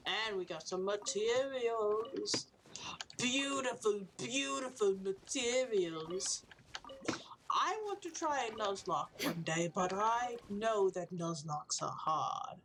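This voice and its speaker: A woman's voice: mid-range voice, expressive, slow, noisy background. A woman streaming a video game, chatting casually to her viewers in a rambling running commentary full of jokey asides. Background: faint game music.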